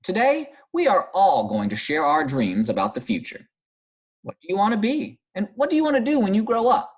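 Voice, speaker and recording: A prim lady schoolteacher from a children's storybook, voiced by a man, questioning her class in a raised, swooping voice with a slightly husky edge. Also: sits high, wildly swooping pitch, normal speed, voice pushed up, full character voice. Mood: upbeat, inviting.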